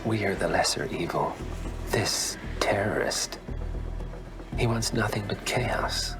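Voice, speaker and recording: An actor playing a smooth, menacing male emissary of a shadowy organisation in a video game, insinuating and condescending, often half-whispering. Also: fairly low pitch, slow pace, music in the background. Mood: smooth persuasion. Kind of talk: video game cinematic dialogue.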